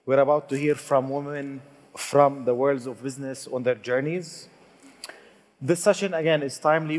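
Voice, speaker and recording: A man moderating a business panel, formal and pausing between phrases. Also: mid-range voice, darkish tone. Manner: formal, reads introductions with pauses. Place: conference hall. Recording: hall reverb.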